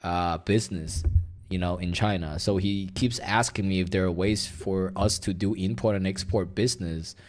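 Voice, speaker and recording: A man's voice: low-pitched, fairly even intonation, normal speed, a little mellow. A young man whose first language is Mandarin, chatting loosely and profanely while reacting on a stream. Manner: rambling, profane, filler-heavy. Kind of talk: casual reaction stream chat.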